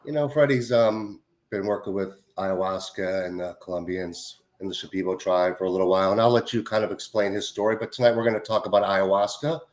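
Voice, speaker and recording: A man's voice: low-pitched, steady tone, brisk. A man hosting a casual conversation show, introducing his guest in a loose, enthusiastic way. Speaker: host.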